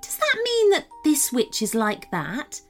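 A woman voicing a calm, wise female unicorn in a children's storybook, speaking patiently and with gentle challenge. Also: sits high, measured pace, soft background music, voice pushed up, exaggerated voice. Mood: gently questioning.

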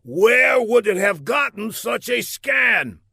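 Guy doing a bossy evil villain for an audiobook, shouty, exasperated, pompous, pitched way up. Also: big pitch swings, slow pace, gravelly, husky, no echo, clean studio sound, heavily acted. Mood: indignant. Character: pompous, hot-tempered male villain boss barking threats.

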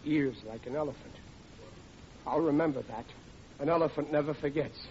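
A man's voice: on the high side, normal speed, over a noisy crowd, natural voice. An actor playing a touchy, blustering working-class family man in old radio comedy. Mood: aggrieved. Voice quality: ungrammatical working-class speech. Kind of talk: 1940s radio comedy acting. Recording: noisy, muffled old radio recording.

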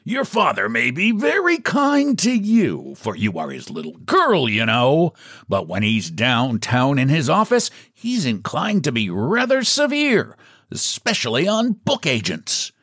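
A man's voice: medium pitch, huge pitch swings, fairly bright tone, no room sound, studio quality, voice pushed up, exaggerated voice. Man playing a sly, pompous, swooping storybook book agent. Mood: indignant. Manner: mock-polite, mysterious, brisk, pompous. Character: short fat shabby book agent, sly and courteous. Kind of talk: storybook character voice.

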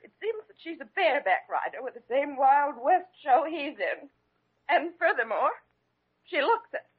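An actress playing a prim, huffy, lovelorn hospital nurse, theatrical, in 1940s radio style. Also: female voice, high voice, very animated, moderate pace, phone-quality sound. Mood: wounded, huffy. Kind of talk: 1940s radio comedy acting. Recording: thin, band-limited old radio recording.

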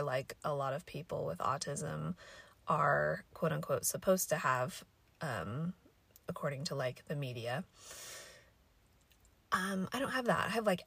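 A young woman talks through a casual solo podcast, her voice hoarse and scratchy from allergies. She speaks low with a gravelly fry, thinking aloud and rambling with plenty of fillers. The sound is dry and close, with no room around it. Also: a bit bright, dry recording. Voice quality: raspy, scratchy voice. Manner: thoughtful, rambling, many fillers. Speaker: podcast host.

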